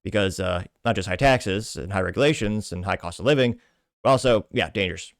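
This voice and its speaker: Low-voiced American man, podcast commentator. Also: a little fast, gravelly, no room sound, clean studio sound. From Midwestern United States. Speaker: podcast host. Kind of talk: political commentary, reading social media posts aloud.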